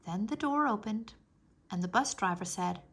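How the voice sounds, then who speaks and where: Female children's audiobook-style narrator, very bright voice, expressive storybook delivery. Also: mid-range voice, normal speed, husky. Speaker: read-aloud narrator for children.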